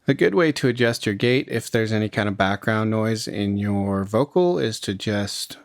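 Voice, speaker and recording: A man narrating a casual, step-by-step music software tutorial in an informal, explanatory way. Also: lowish voice, lively intonation, normal speed, no echo, clean studio sound. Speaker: music production tutorial host.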